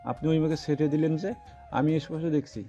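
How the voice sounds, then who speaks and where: A man's voice: fairly high pitch, fairly even intonation, brisk, dark tone, soft background music, close to an everyday voice. A man playing a nosy, grumbling police constable in an audio story, insistent and colloquial, in dull lo-fi sound. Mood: insistent, questioning. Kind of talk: dramatised audio story acting.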